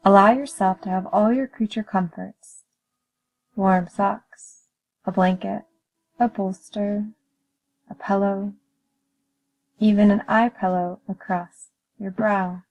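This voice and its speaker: A woman leading a guided meditation in a calm, soothing, measured manner with gentle pauses. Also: medium pitch, low-quality recording.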